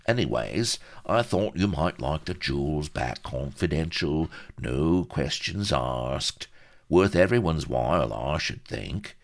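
A man voicing an oily, smirking fixer in an audiobook, smooth, slangy and ingratiating. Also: extremely low pitch, pitched above the performer's own voice, full character voice. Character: oily, smirking, wheedling male fixer and blackmailer.

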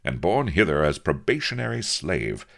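A man's voice: extremely low pitch, sing-song, normal speed, gravelly, fairly bright tone, exaggerated voice. A man voicing a young, heroic naval captain, pompous and noble, with stern, grandiloquent old-fashioned speech in a comic storybook reading. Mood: cool, lofty. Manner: grandiloquent mock-archaic speech, stern and cool.

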